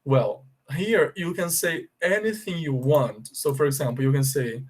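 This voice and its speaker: Slow, patient Brazilian English teacher. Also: male voice, fairly high pitch, breathy edge. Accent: Brazilian Portuguese. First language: Portuguese. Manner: slow, deliberate explaining with frequent check-ins. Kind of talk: bilingual language lesson.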